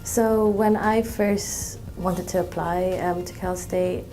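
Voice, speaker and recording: A woman in her twenties from Malaysia, a student talking in an interview, relaxed, with many ums and restarts. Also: fairly low pitch, takes it slowly, over music, rough recording. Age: 20s. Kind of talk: interview testimonial.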